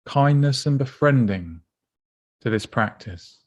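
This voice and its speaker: Unhurried man guiding a meditation. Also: mid-range voice, lively intonation, lo-fi sound. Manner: gentle, unhurried, instructive, with pauses. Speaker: meditation guide. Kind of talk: guided body scan meditation.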